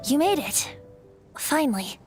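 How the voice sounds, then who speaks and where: A boyish video game bard, really an ancient wind spirit, light and high in pitch. He is cheerful and whimsical, with a playful, poetic lilt and a theatrical flair. Music plays clearly behind the voice. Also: sing-song, measured pace. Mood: playful, breezy. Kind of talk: video game character acting. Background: background music.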